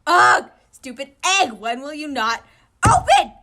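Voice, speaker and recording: A woman's voice: sits high, big pitch swings, unhurried, pushed and bright, pitched above the performer's own voice, heavily acted. Woman putting on a shrieking, flustered young man. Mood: furious. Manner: shrieking, exclaiming, repeating words. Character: flustered, dramatic, vain young man panicking in a kitchen.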